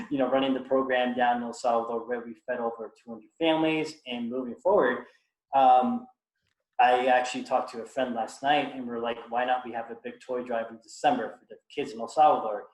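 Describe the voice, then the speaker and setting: A man's voice: mid-range voice, echoey room, low-quality recording. A young American man with an American accent, speaking earnestly about his own life, often restarting his sentences. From United States. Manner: earnest, halting, many restarts and fillers. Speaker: guest speaker. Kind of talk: reflective personal talk.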